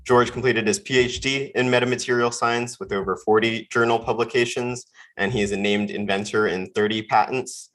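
A man's voice: medium pitch, steady tone, moderate pace, few pauses, a bit bright. A man hosting a podcast, reading a guest introduction in an upbeat scripted way. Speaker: podcast host.

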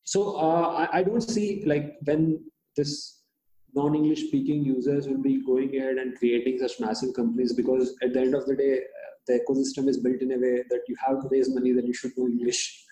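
A man with an Indian accent, whose first language is Hindi, speaking as a guest in an interview. He talks haltingly, with many restarts and fillers. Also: mid-range voice, fairly even intonation, mellow, roomy sound, slight background noise, low-quality recording. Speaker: startup founder, guest. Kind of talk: interview conversation.

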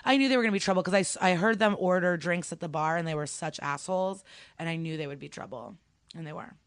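A woman's voice: on the low side, quick pace, no echo, studio quality. A young woman from Ukraine, a stand-up comedian, chatting casually as a podcast guest and telling stories with many fillers.

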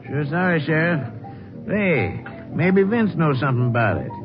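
A folksy, drawling country café owner. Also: male voice, on the high side, very animated, normal speed. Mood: obliging. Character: friendly country café owner, middle-aged man. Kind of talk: 1950s radio drama acting. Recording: dull old radio broadcast with music.

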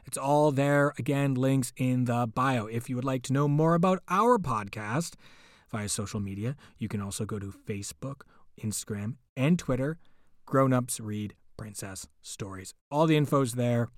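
A man hosts a podcast and wraps up the episode, heaping joking praise on the reader and running through his plugs. His voice is mid-pitched and loose, and it is recorded dry, with no room sound around it. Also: normal speed, no room sound. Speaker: podcast host. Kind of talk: podcast outro with promotions.

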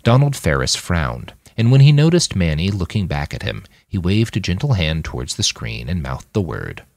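Deep-voiced man narrating fiction with lively intonation. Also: moderate pace, vocal fry, no echo. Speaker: author reading his own novel on a podcast. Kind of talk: scripted fiction audiobook narration.

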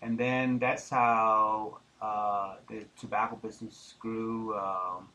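A middle-aged man from Denmark, talking conversationally and haltingly as an interview guest. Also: lowish voice, level tone, bright, forceful tone, low-quality recording. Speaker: interview guest, tobacco businessman. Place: studio, live interview. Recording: clear background noise.

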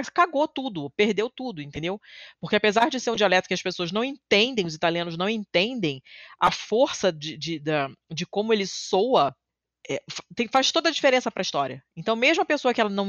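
Animated woman in casual chat. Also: no echo, lo-fi sound. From Rio de Janeiro, Brazil. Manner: animated, swearing, self-mocking, jumps to high pitch when excited. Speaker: podcast guest living in Italy. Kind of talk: casual conversation.

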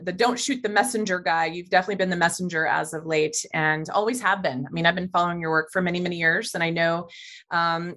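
A middle-aged woman speaking as a guest in an interview, quick and animated as she talks. Her voice sits on the lower side for a woman, and she hurries through phrases. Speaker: guest, integrative oncologist. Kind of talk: conversational interview answers.

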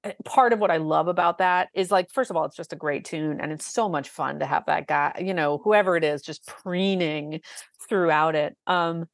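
A woman with a low voice, co-hosting a podcast, talking in an enthusiastic, chatty way with lots of fillers. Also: normal speed, no echo, studio quality. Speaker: podcast co-host. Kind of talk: casual chat about a musical.

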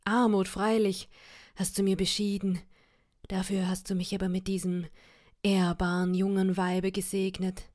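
A woman's voice: mid-range voice, flat delivery, moderate pace, darkish tone, close to an everyday voice. A woman reading the lines of a poor, honest husband musing earnestly to himself, in a story reading. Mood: grateful.

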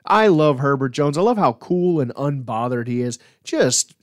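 A man's voice: mid-range voice, animated, normal speed, dry recording, clean studio sound. A man hosting a sports podcast in casual chat, with a jokey, enthusiastic way of telling stories.

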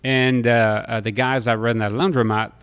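French-accented man, clear smooth voice. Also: medium pitch, flat delivery, a bit bright. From Lille, France. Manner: halting, repeats words, frequent hesitations. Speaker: restaurateur being interviewed. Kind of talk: conversational interview storytelling.

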